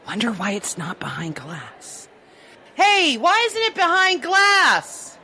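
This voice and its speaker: A woman's voice: high-pitched, sing-song, slow, bright, forceful tone, heavily acted. A woman playing a flippant, impulsive young earthling in an audio drama, casual and wisecracking. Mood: impish, restless. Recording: faint background ambience noise.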